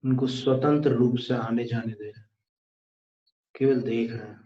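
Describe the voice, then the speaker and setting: A man with an Indian accent leading a guided meditation, speaking calmly and deliberately with pauses. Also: medium pitch, slight background noise. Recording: echoey room, dull muffled sound.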